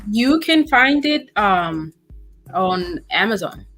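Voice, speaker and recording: A woman from South Sudan talking as an interview guest, hesitant and halting, with many fillers. Her voice sits in a middle pitch, with faint music underneath. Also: big pitch swings, a bit bright. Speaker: guest author. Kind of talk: casual interview.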